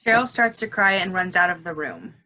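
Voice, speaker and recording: A woman's voice: fairly low pitch, animated, brisk, sharp tone, rough recording. A woman reading the scripted stage directions of a play, with some background noise.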